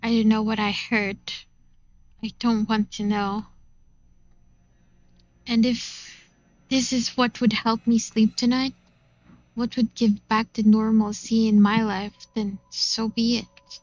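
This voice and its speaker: Expressive woman narrator, slightly high, muffled audio. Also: slow pace, long pauses, dry recording. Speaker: streamer reading a visual novel aloud. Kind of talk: expressive first-person story narration. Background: game music.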